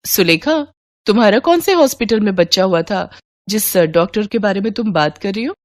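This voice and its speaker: A woman's voice: mid-range voice, expressive, moderate pace, no echo, clean studio sound, natural voice. A woman voicing a snobbish middle-aged mother who lectures critically in a dramatized moral story. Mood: scornful. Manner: disdainful, lecturing.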